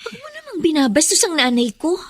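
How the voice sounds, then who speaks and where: Angry schoolgirl daughter in a pinoy radio drama, really high voice, dry studio sound, scolding and emotional. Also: sing-song, quick pace, no echo. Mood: indignant. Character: indignant schoolgirl daughter fiercely defending her mother. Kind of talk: Filipino radio drama acting.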